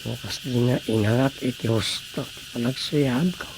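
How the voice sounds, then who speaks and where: A man's voice: mid-range voice, fairly even intonation, normal speed, noisy background, close to an everyday voice. A man playing an easygoing, daydreaming husband in a Filipino radio drama comedy, muttering with a Filipino accent. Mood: drowsy musing.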